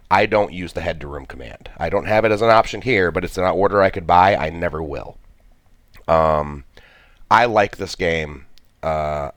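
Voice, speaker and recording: Super deep guy, kinda stuffy from a cold, rambling thru his game, dry mic. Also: dry recording. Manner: thinking aloud, many restarts and fillers. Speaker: gameplay commentator. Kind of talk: casual game commentary.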